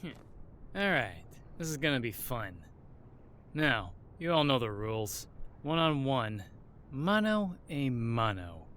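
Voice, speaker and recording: An actor playing a cheeky mob referee in an audio drama, with a showy, flippant announcer's delivery. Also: male voice, medium pitch, very animated, takes it slowly, a bit bright, a little hiss or hum. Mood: gleeful, flippant. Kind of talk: audio roleplay drama acting.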